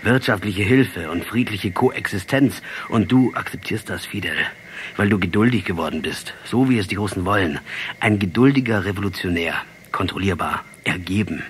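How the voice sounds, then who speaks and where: A man's voice: low-pitched, normal speed, a little hiss or hum, lightly acted. A male actor in a radio drama playing a defiant, sardonic revolutionary leader.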